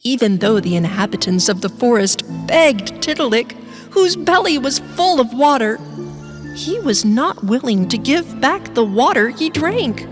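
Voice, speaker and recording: A woman's voice: a little high, lively intonation, unhurried. A woman storyteller reading a scripted children's bedtime tale. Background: music.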